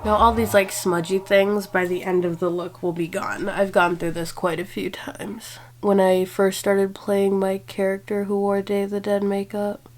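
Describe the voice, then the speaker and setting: A woman's voice: medium pitch, little pitch movement, normal speed. A woman in her twenties with a stuffed-up cold voice, chatting casually and self-deprecatingly while doing her makeup. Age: 20s. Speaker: beauty vlogger. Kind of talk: casual makeup tutorial talk. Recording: music bed under the voice.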